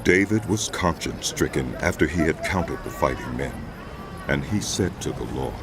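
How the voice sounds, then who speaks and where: A man narrating a dramatized audio Bible in a solemn, measured, scripted storytelling style. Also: a bass voice, lively intonation. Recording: music behind the voice. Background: background music.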